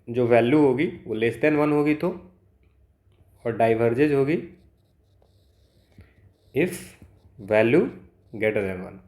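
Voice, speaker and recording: A man's voice: medium pitch, a little mellow. An Indian man teaching as a maths exam tutor, explaining solved problems step by step and mixing Hindi with English terms. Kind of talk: instructional walkthrough of solved problems.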